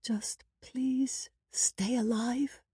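A woman narrator performs a loving, fearful woman in a drama story. The voice rises higher and turns breathy and pleading, heavy with emotion, in a dry space. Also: animated, moderate pace, warm tone, dry recording.

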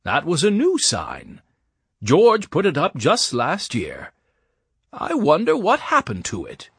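A man voicing a calm, genial grandfather, an older man, in a children's audiobook. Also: a little high, slow pace, breathy edge, no echo, clean studio sound, exaggerated voice. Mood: puzzled.

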